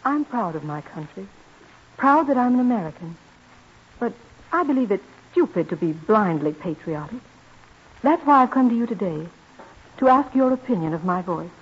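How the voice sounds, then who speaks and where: A woman's voice: medium pitch, very animated, moderate pace, long pauses, breathy edge. A woman playing a young American prima donna in a radio drama, modest, proud and earnest, speaking politely and formally. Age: young adult. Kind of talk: old-time radio drama acting. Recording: muffled vintage radio broadcast.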